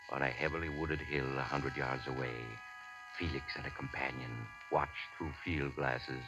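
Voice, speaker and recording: An actor narrating a 1940s radio suspense tale in a measured, ominous, theatrical way. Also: male voice, a bass voice, vocal fry. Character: ominous, composed mystery-story narrator. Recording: dull vintage radio recording.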